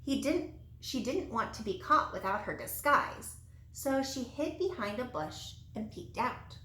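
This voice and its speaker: A woman reading a children's storybook aloud in an expressive, animated way with dramatic emphasis. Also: a little high, measured pace. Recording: roomy, echoey sound.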